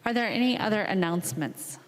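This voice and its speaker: A woman's voice: mid-range voice, brisk. A woman presiding over a legislature, making formal procedural announcements. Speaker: presiding House speaker. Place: large legislative chamber. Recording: reverberant large chamber hall.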